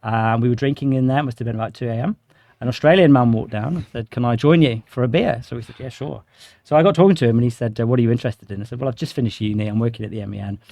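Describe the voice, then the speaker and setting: Podcast guest, English male, late thirties. Quick, informal storyteller with a mid-range voice in a dry acoustic. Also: mid-range voice, gravelly, warm tone, no echo. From England, grew up in Shropshire. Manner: informal, anecdotal. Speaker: podcast guest, sales director. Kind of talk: casual conversational storytelling.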